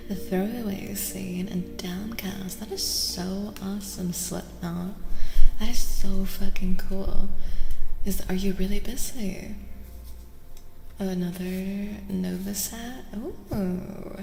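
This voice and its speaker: A woman hosts a soft-spoken ASMR stream at a slow, gentle pace, often sinking into a breathy whisper. Her voice has a creaky edge and sits in a wash of long, roomy reverb. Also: medium pitch. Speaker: streamer hosting ASMR tarot readings.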